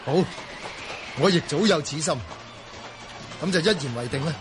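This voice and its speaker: 男演員演聲線偏高、有禮嘅使臣. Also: male voice, a little high, animated, moderate pace, over music, natural voice. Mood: agreeable, earnest. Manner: formal, polite. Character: grateful, courteous male court envoy. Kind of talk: Cantonese radio drama acting.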